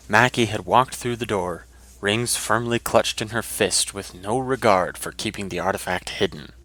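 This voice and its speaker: A man's voice: on the low side, bright, forceful tone. A man giving scripted narration of a fantasy adventure story.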